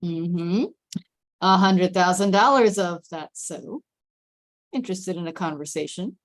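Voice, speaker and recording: A woman's voice: fairly low pitch, measured pace. A woman playing a blunt, shrewd detective in a script table read, terse and probing. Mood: blunt, sly.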